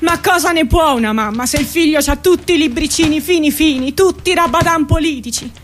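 A woman's voice: high-pitched, brisk, slight background noise, close to an everyday voice. An actress playing a brash, aggrieved working-class mother in a theatrical radio drama, complaining and pleading in colloquial, insistent repetitions. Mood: aggrieved, bitter. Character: brash, aggrieved working-class Italian mother defending her arrested son.

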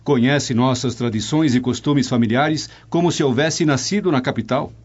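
A man's voice: medium pitch, moderate pace, husky, dull audio, close to an everyday voice. A man playing a proud, admiring Roman nobleman and father in a radio drama.

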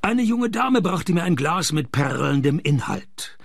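A man playing a laconic, deadpan private detective who narrates and questions in a radio crime play, with a gravelly voice. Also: a little high, a little slow, dry recording, clean studio sound, full character voice. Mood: deadpan narration. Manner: deadpan, dryly ironic. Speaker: lead actor and first-person narrator. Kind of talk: German-language radio crime play, dry noir narration.

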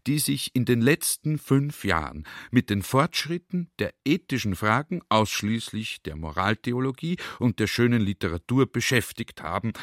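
A man's voice: medium pitch, dry recording, clean studio sound, natural voice. An actor playing a garrulous, naively sly army general who tells his adventure in a chatty, emphatic radio play monologue. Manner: chatty, emphatic storytelling, drawn-out quoted speech.

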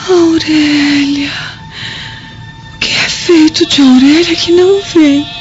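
An actress playing a delirious, guilt-ridden old mother on her deathbed in a melodramatic radio play, with a weak, breathy voice that breaks into whispers and halting, repeated words. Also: high voice, steady tone. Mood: tearful, bitter. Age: older. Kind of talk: melodramatic radio drama acting. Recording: dull radio drama audio with music.

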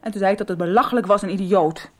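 A woman's voice: mid-range voice, rapid-fire, lightly acted. A woman acting an outspoken, defiant schoolgirl in a radio soap, feisty and argumentative. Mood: indignant. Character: outspoken, defiant schoolgirl daughter, quick to answer back.

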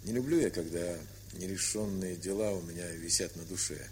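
Actor playing easygoing nephew guy, lowish voice, frank, bit teasing, old radio play w faint hiss. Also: a little fast, darkish tone, close to an everyday voice. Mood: earnest. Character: good-natured grown nephew, frank, calm, gently teasing. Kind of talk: Soviet radio play acting.

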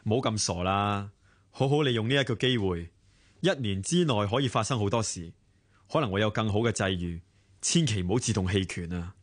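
An actor playing a kindly office manager in a radio drama, soothing and encouraging, with a Hong Kong Cantonese accent. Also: male voice, fairly low pitch, fairly quick, natural voice.

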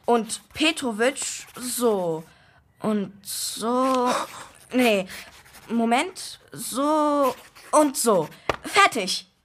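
A woman's voice: a little high, expressive, drawn-out words, fairly bright tone, slight background noise, heavily acted. A woman playing a cheeky, cocky young boy in a German children's radio play, brash and flippant. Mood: eager, showy.

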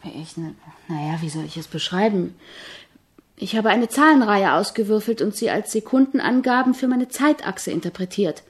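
An actress playing an eager, admiring composition student in a radio drama, speaking in an earnest, searching, deferential way with a husky voice. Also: female voice, medium pitch, animated, normal speed. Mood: earnest, unsure. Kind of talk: German radio drama acting.

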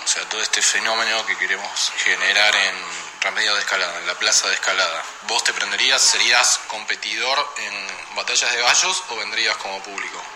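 Argentine guy doing a relaxed interview, lowish voice, noisy background. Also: a little fast, hardly any pauses. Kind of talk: informal interview. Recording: noisy on-location interview recording.

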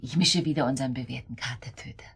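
A woman playing a calculating accomplice who talks in a hushed, coaxing way in a German radio drama. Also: a bass voice, fast, creaky. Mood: coolly soothing. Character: calculating woman soothing her hungover accomplice.

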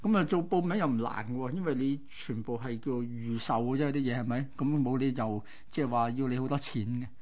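一位帶香港粵語口音嘅男搭檔主持，用閒談語氣逐點講述細節，口語助詞好多。. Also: male voice, mid-range voice, brisk, hardly any pauses, darkish tone. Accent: Hong Kong Cantonese. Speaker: radio co-host. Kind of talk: casual explanatory radio chat.

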